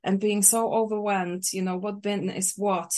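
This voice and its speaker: A woman's voice: mid-range voice, level tone, normal speed, darkish tone, slight background noise. A woman from Poland with a Polish accent, answering interview questions thoughtfully with frequent hesitations. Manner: thoughtful, hesitant, many uhs. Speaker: guest, sewing teacher.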